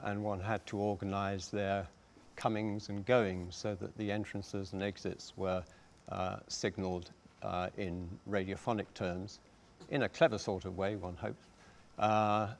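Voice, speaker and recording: A middle-aged man explaining his craft on a panel in a theatre, speaking in a measured, deliberate, formal way. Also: fairly low pitch, level tone. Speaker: panellist, radio dramatist. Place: theatre stage.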